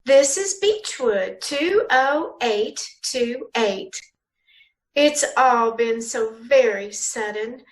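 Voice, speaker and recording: A solemn lady in an old-time radio play, acted by a woman. Her voice is high and slow, swooping in pitch, with a grave, formal manner. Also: animated, low-quality recording. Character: solemn female household acquaintance answering the telephone.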